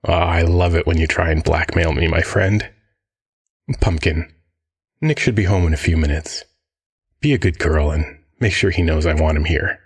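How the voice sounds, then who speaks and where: A man playing a swaggering, smug billionaire crime-boss father in an audio drama, with a husky, drawling, mock-affectionate delivery. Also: low-pitched, a little slow, long pauses, heavily acted. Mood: jovial, smug.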